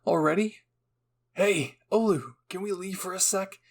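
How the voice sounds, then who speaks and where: Man acting a hot-headed teen boy soldier, higher pitched, husky, impulsive, jealous, anime fan fiction. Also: measured pace, heavily acted. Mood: eager.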